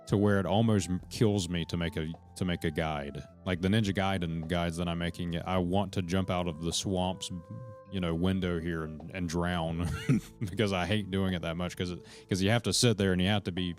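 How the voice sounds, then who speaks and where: A deep, low-voiced man talks loosely to his stream chat, hopping between thoughts and cursing as he goes. Faint guitar music plays behind him. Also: fairly even intonation, normal speed. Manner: rambling, profane, jumps between points; talks to chat members directly. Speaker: live streamer. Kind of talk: rambling live-stream chat to viewers.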